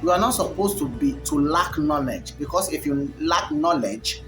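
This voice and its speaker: A man's voice: fairly high pitch, expressive, normal speed, music in the background. A man, a Yoruba speaker, delivering a rambling, preachy monologue full of fillers and restarts. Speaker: commentator. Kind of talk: unscripted monologue to camera.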